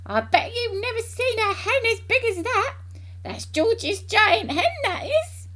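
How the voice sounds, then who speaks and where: A woman voicing a loud, boastful old grandmother with a shrill, cackling shout, in a storybook reading. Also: huge pitch swings, a little slow, exaggerated voice. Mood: gleeful. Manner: shouting, crowing.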